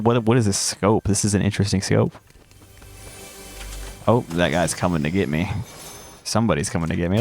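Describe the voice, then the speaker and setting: A man's voice: fairly low pitch, slight background noise. Congested young man rambling over gameplay. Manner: rambling and self-interrupting, drops slang. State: congested, recovering from a cold. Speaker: gaming streamer. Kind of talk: casual gameplay commentary.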